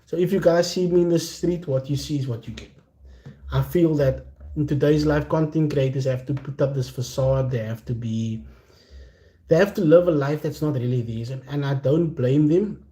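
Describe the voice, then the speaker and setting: A man from Cape Town with a Cape Flats accent, chatting casually and candidly to his livestream viewers in slangy, unscripted talk. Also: a little high, moderate pace. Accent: Cape Flats South African. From Cape Town, South Africa. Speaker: content creator hosting a chat livestream. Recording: roomy, echoing sound.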